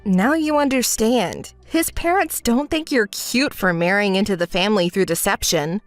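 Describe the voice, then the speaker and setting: A woman performs a sensible, wronged young woman for a melodramatic story. She keeps her voice low and controlled, speaking in a measured, dismissive and reproachful way. The sound is dry and close. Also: no room sound, soft background music, natural voice. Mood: stern, reproachful.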